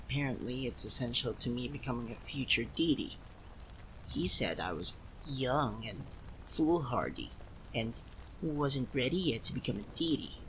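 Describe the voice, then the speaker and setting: A man voicing a proud, easily flustered young cat-eared son of a nature deity in an ASMR roleplay, speaking haltingly to the listener. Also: medium pitch, bright, forceful tone, a little hiss or hum, poor audio. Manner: haughty yet flustered, halting.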